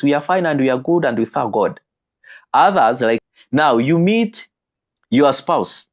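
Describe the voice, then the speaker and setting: A man giving an animated teaching talk on marriage, his voice jumping in pitch and slowing down for emphasis. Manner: animated, with sudden pitch leaps and slowed emphatic phrases.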